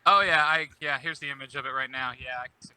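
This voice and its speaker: A man's voice: medium pitch, fast talker, sharp tone, clear, resonant tone, no room sound, rough recording. A man appearing as a podcast guest, chatting casually as a fan.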